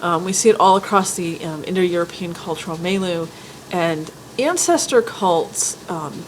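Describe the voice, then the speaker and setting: A woman with a stuffy head cold giving an informal spoken lecture, careful and candid, often pausing with ums and restarting her sentences. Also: lowish voice, a little hiss or hum, low-quality recording. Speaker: folklore writer and teacher.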